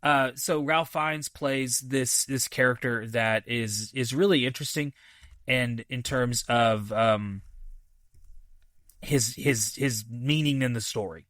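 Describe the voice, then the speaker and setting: A man hosting a podcast, casually reviewing a film in a halting, thinking-aloud way with enthusiastic, repeated emphasis. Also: medium pitch, a bit bright, no echo, clean studio sound. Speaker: movie review podcast host.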